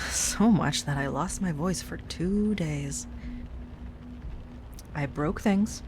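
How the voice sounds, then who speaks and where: A woman playing an obsessive, lovesick stalker ex-girlfriend in an intimate audio roleplay monologue, sweet yet menacing, with a breathy delivery that drifts into whispers. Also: lowish voice. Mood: anguished, simmering. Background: rain and music.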